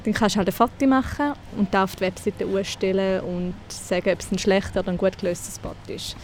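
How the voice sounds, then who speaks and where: A woman's voice: mid-range voice, quick pace, a little hiss or hum. A woman in her twenties with a St. Gallen Swiss German accent, chatting casually as a guest and laughing often. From St. Gallen, Switzerland. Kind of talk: casual conversation.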